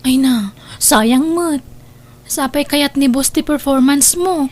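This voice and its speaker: Babai a kas mausisa a balasang, natinggi timekna. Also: female voice, high-pitched, takes it slowly, darkish tone. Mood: surprised, sympathetic. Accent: Ilocano. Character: caring, inquisitive young woman, sympathetic confidante. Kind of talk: Ilocano radio-style audio drama.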